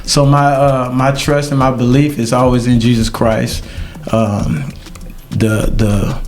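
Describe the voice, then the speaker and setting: A middle-aged man hosting a podcast, talking in a halting, reflective way with many ums and uhs. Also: medium pitch, little pitch movement, a little mellow. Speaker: podcast host. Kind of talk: casual podcast chat.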